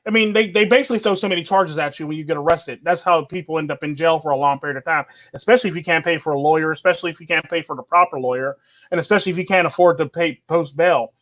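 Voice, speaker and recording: A man's voice: fairly high pitch, very fast, fairly bright tone. A man hosting a livestream in casual chat, opinionated, rambling on in long runs and laughing easily. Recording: dull lo-fi livestream audio.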